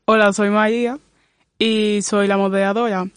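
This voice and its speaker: A young woman moderating a podcast panel, reading questions in a steady way. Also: mid-range voice, moderate pace, over music. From Spain.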